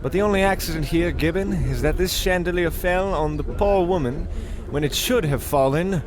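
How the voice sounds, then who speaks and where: An actor playing a pompous, melodramatic, absurdly self-assured detective in the theatrical style of old radio comedy. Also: male voice, on the high side, normal speed, crowd noise, lightly acted. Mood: self-important. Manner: theatrical, grandiose deductive declarations.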